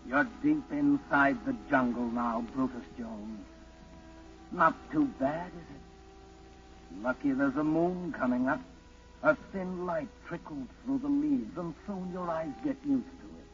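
An actor playing an ominous narrator in a 1940s radio drama, speaking directly to the hero in a deliberate, brooding, dramatic way. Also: male voice, mid-range voice, close to an everyday voice. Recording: muffled 1940s radio broadcast.

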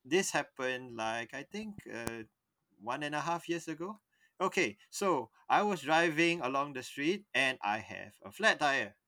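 Bright-voiced man telling a story. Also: medium pitch, lively intonation, measured pace, dry recording. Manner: rambling, often stops and restarts mid-sentence. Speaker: podcast co-host. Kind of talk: casual storytelling chat.

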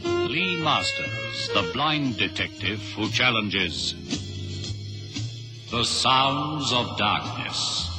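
A man's voice: medium pitch, huge pitch swings, very slow, long pauses, exaggerated voice. A man playing a portentous announcer for an old radio thriller series, with a theatrical delivery. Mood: ominous, dramatic. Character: dramatic, ominous male radio series announcer. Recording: old radio drama recording. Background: music.

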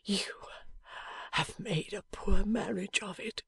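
A woman voicing a badly wounded young husband who speaks in a faint, halting, affectionate whisper in an audiobook. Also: medium pitch, vocal fry, husky, pitched above the performer's own voice, exaggerated voice. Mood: faint, tender. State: weak from injury. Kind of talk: audiobook character voice.

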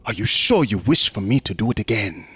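An actor playing a smug, unctuous museum assistant in a horror radio drama, courteous and insinuating, sometimes dropping into whispers. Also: male voice, lowish voice, sing-song, brisk, sharp tone, breathy edge, full character voice. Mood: menacing. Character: sinister, smug, unctuous museum assistant guarding macabre exhibits.

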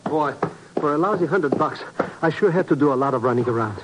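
Man acting a jumpy, grumbling hustler, lively swooping pitch. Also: fairly quick, lightly acted. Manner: hesitant, stammering, grumbling, naive. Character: jumpy, complaining small-time hustler sidekick with muddled slang. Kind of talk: 1950s radio drama acting. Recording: noisy vintage 1950s radio recording.

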